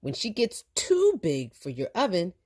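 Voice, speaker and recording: Woman playing a teasing policewoman, lively intonation. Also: on the low side, unhurried, voice pushed up, full character voice. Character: friendly woman police officer, teasing about the turkey. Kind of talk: read-aloud children's storybook dialogue.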